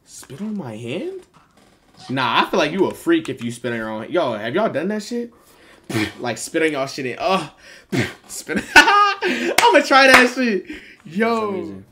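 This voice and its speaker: A man's voice: on the high side, big pitch swings, normal speed, fairly bright tone, slight background noise. A young American man bantering casually in slang with strangers, as the host of random video chats. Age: young adult. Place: small room indoors.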